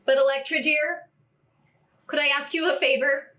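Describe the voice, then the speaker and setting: An actress plays an anxious woman of classical tragedy. Her voice sits high and has a bright, forceful edge. She pleads with emphasis, like someone begging a favor on stage. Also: steady tone, moderate pace, faint music behind. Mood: pleading.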